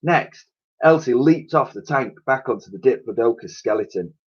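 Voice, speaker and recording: A man's voice: medium pitch, animated, moderate pace, breathy edge, muffled recording. A man reading a children's story aloud like a teacher, with theatrical, suspenseful narration and emphatic sound effects spoken by mouth.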